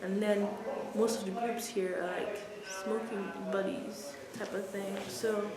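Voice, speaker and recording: A woman's voice: mid-range voice, fairly even intonation, measured pace, loud music behind the voice. A young woman chatting casually as a student interviewee, informal and thinking aloud as she answers. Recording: echoey room, people chattering behind.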